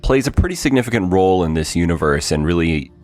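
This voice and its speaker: A man with a very deep voice leads the conversation at an easy, measured pace. He prompts his partner with questions, pausing on "um" and "uh". Faint music runs underneath. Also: lively intonation, a little mellow. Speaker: podcast co-host, interviewer. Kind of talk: casual behind-the-scenes chat.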